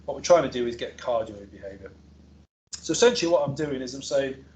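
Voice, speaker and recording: A man's voice: mid-range voice, expressive, a little fast, a little mellow, a little hiss or hum, low-quality recording. A male academic researcher presenting a technical seminar in an informal, explanatory way, with hesitations and self-corrections. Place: seminar room with an audience present.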